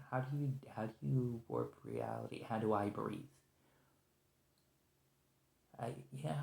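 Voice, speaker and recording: A man playing a nervous, polite, conciliatory field agent in an ASMR roleplay, speaking in a halting, hesitant way. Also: medium pitch, creaky, warm tone. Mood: curious, awkward. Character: nervous, conciliatory male agent of a secret organization negotiating with a creature. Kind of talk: ASMR roleplay, improvised one-sided conversation. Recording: roomy, echoing lo-fi sound.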